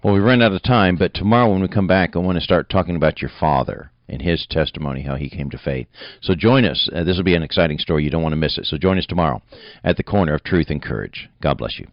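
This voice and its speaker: A man with a very low, deep voice hosts a religious radio talk show, rambling in a folksy way and leaning on fillers as he thinks aloud. The sound is close and dry, slightly dull and muffled. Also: quick pace, dry recording. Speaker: radio host. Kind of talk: radio interview.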